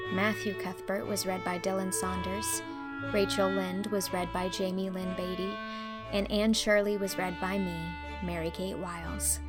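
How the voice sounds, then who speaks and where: Woman narrating a storybook over music. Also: mid-range voice. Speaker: audiobook narrator and host.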